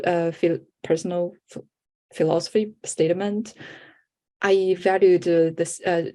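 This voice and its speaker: A young woman who speaks Chinese as her first language gives a conference presentation in English. She talks in a slightly low, warm, soft tone. Her delivery is halting, full of fillers and restarted words. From China. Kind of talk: online workshop presentation.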